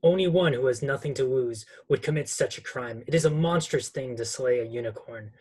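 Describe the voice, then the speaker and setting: A man playing a solemn, cryptic centaur with a husky voice, reading in an amateur table read. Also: mid-range voice, brisk, darkish tone, natural voice. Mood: grave, warning. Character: solemn male centaur, grave cryptic forest guardian.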